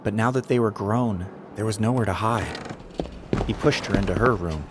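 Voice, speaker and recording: Guy reading a dramatic audiobook, low voice, noisy ambience going on behind him. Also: normal speed. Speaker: audiobook narrator. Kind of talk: dramatic scripted narration.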